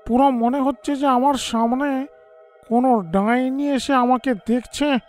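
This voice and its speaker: An actor playing a crude, rambling village drunk in a horror story. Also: male voice, very high voice, fairly even intonation, a little slow, darkish tone, soft background music. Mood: tipsy bluster.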